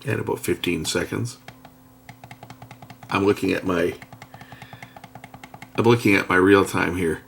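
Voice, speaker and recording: Middle-aged man, low gravelly voice, unhurried talk-through of a gadget at his workbench, faint music. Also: steady tone, fairly bright tone. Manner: thinking aloud while pressing buttons, unhurried. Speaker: product reviewer. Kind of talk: casual hands-on product demo. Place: indoors at a workbench.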